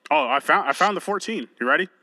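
A man's voice: a little high, expressive, fast, pushed and bright, slight background noise. A man co-hosting a podcast, chatting loosely with a friend, joking and swearing as he goes. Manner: loose, joking, profane banter. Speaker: podcast co-host. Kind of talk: casual chat.

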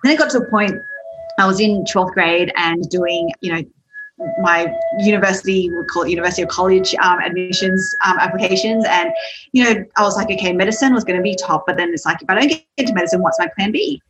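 A woman's voice: on the low side, fast, rough recording. A young Australian woman with an Australian accent, telling her story as a podcast guest in a chatty way, laughing often. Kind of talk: podcast interview.

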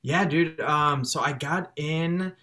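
A man's voice: a little high, slow pace. A young man with a Chicago accent who grew up speaking French, a musician chatting casually as a guest over a video call, laughing often. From Chicago, raised in France till six. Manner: laughs often, restarts and fillers, relaxed.

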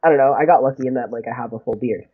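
A young man with a high voice, talking in a chatty, rambling way with lots of "like" and small laughs. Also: racing. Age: young adult. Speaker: guest, graduate student. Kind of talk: casual conversation. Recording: tinny phone-like husky sound.

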